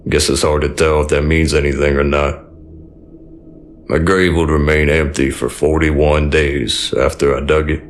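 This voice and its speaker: An actor playing a weathered East Texas survivor of the apocalypse, narrating an audio drama in a folksy, grim, plainspoken way. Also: male voice, extremely low pitch, a little hiss or hum. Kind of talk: scripted first-person audio drama narration. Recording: music bed behind the voice.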